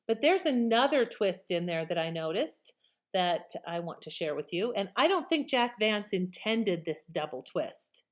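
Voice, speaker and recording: A woman giving casual, conversational commentary as a host. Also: mid-range voice. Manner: conversational, informal. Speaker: channel host.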